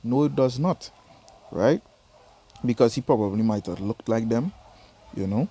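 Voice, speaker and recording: A man's voice: medium pitch, lively intonation, a little slow, dark tone, slightly rough. A man from Trinidad with a Trinidadian accent, reading Bible verses aloud and explaining them in a casual teaching style that keeps checking in with "right?" and "you understand?".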